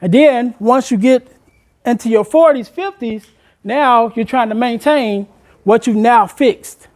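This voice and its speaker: A man's voice: very high voice, measured pace, darkish tone. Man in his forties giving a motivational talk. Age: 40s. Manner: engaging the audience with questions, conversational and encouraging. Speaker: motivational speaker. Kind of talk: motivational talk to high school students. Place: school room with students.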